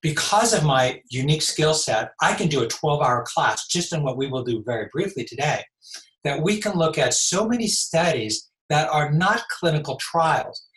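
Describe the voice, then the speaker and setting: A man in his sixties with a slightly raspy voice, a chiropractor giving measured explanatory answers in an interview. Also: a little high, expressive, a bit bright. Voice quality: a little raspy. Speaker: chiropractor guest. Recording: echoey room.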